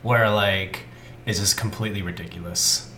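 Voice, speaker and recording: A man's voice: low voice, fairly even intonation, moderate pace, pushed and bright. Chatty podcast co-host man in echoey room. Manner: chatty, laughs often, many "like" fillers. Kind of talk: casual chat. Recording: roomy echo, faint noise.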